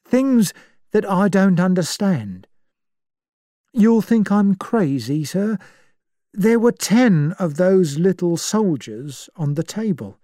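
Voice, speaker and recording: A woman's voice: lowish voice, expressive, slow, mellow, dry recording, studio quality, voice pushed up. A woman voicing a shaken, agitated male butler who pleads to be believed.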